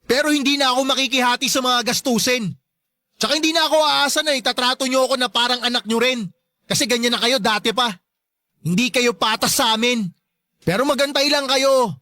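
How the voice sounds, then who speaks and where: A wounded, resentful son in a radio drama. His voice is very high and sharp, recorded close and dry. He argues back in an aggrieved, pleading manner. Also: a little fast, dry recording. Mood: hurt, defiant. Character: hurt, resentful adult son standing up to his mother. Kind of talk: Tagalog radio drama acting.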